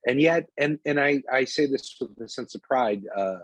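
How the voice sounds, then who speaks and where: A man hosting a podcast interview, asking long, halting questions with many restarts. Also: mid-range voice, dry recording. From United States, Chicago. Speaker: guest host, interviewer.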